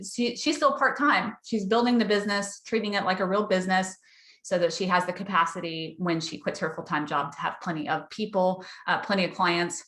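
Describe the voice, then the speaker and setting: A woman's voice: mid-range voice, fairly bright tone, roomy sound. A woman hosting a casual webinar for dog trainers, chatty and upbeat as she tells client success stories, her voice wearing out. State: losing her voice. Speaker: dog trainer business coach, webinar host.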